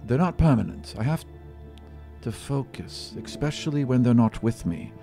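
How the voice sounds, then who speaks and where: A man voicing a modest, earnest young female demigod student in a tabletop game, halting and matter-of-fact. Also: mid-range voice, expressive, dark tone, faint music behind, natural voice.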